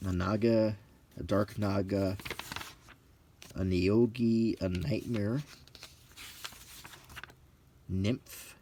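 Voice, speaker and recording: A middle-aged man giving halting, offhand commentary as he leafs through books, listing items one by one with casual opinions. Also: on the low side, fairly even intonation, warm tone, a little hiss or hum. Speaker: presenter of a hobby video. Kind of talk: unscripted casual commentary while leafing through books, unintentional ASMR.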